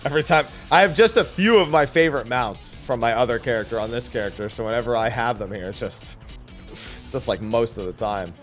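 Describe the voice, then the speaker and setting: A man's voice: medium pitch, a little fast, faint music behind. A man talking casually as he streams a video game, commenting on his play. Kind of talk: casual gaming stream chat, reading dialogue aloud.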